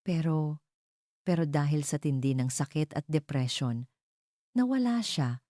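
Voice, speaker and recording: Woman playing a tearful, low-voiced remorseful young mother. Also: animated, a little slow, dark tone, no echo, studio quality. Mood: grieving confession. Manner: trembling, pleading.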